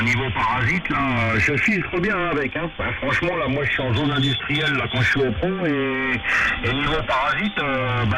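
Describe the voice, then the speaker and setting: A man making casual amateur radio conversation, hesitant, repetitive and informal. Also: mid-range voice. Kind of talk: casual radio contact chat. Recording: very noisy radio transmission.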